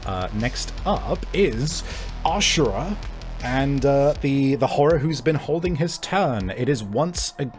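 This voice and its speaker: A man running a tabletop roleplaying game as game master, narrating in a casual, excitable, sweary way. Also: medium pitch, slow pace. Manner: casual, profane, excitable storytelling. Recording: music playing behind the voice. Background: background music.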